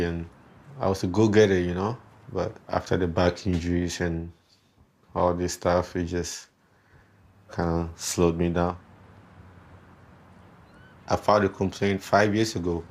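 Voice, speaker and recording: A man from Ghana with a Ghanaian accent, giving a personal account in an interview. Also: low-pitched. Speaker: complainant interviewee. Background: music bed.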